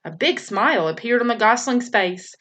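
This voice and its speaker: Lady teacher reading a kids book out loud, lively up-and-down voice, trips on a word now and then. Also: a little high, moderate pace. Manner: expressive storytelling with occasional slips and restarts. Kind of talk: reading a children's novel aloud.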